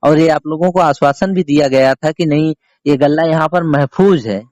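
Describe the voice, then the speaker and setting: A man's voice: fairly high pitch, normal speed, darkish tone, no room sound. A man interviewing someone as a reporter on a recorded phone call, pressing his questions emphatically and repeating himself. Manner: insistent, repetitive, emphatic questioning.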